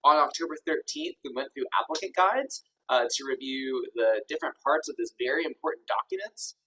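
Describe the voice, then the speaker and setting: A man's voice: mid-range voice, huge pitch swings, brisk, sharp tone, far from the mic, poor audio. A man in his thirties from Kentucky, an executive director hosting a virtual info session in an upbeat, friendly way with many ums and uhs. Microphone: video call.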